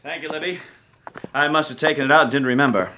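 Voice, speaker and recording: An actor playing a brisk, genial cavalry commander in a radio drama. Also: male voice, a little high, background noise, natural voice. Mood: brisk, friendly. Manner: brisk, lively.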